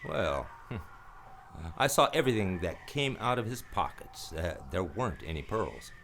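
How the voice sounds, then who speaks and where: A man's voice: low-pitched, big pitch swings, moderate pace, a bit bright, a little hiss or hum, heavily acted. A man playing a wry, world-weary private detective in a noir radio play, terse and sardonic. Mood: wry, probing. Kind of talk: radio noir acting with first-person narration. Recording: radio play over guitar music.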